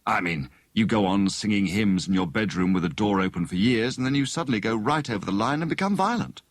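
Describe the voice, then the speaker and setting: Man acting a breezy, lowish-voiced young gentleman sleuth. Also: animated, a little fast, no echo. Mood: reasoning. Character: Tommy, genial, encouraging young gentleman amateur detective.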